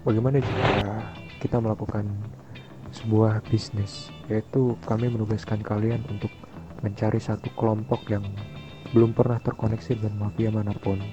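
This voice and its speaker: A man with a low, very flat delivery negotiates in a formal, measured way as a gangster roleplayer. His voice comes through dull online voice chat, with clear music playing behind.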